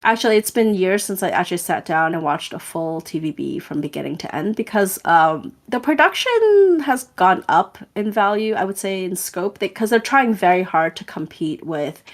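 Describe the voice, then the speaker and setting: A woman's voice: mid-range voice, very animated, moderate pace, hardly any pauses. A woman co-hosting a podcast, chatting casually and rambling a little with fillers and restarts. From Hong Kong. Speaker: podcast co-host.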